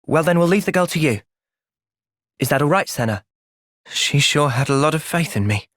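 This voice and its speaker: A man with a British accent playing a thoughtful, gentle young soldier in video game cutscenes, measured and earnest. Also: fairly high pitch, expressive, no echo, clean studio sound. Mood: quiet, pensive.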